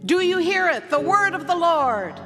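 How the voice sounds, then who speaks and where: A woman giving a dramatic scripture reading at a church service, with theatrical, deliberate phrasing and long pauses. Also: very high voice, sing-song. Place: large church. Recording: long church reverb, music playing behind. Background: music.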